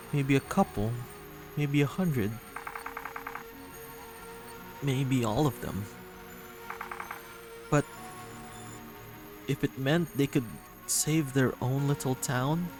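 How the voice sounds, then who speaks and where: Man acting a slow, earnest, anguished young man. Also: mid-range voice, darkish tone, natural voice, rough recording. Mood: grim, hurt. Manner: halting, earnest, tender delivery. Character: gentle, insecure, anguished young man in a dating game. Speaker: voice actor reading a visual novel. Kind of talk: emotional dramatic game dialogue reading. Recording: music playing under the voice. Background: music.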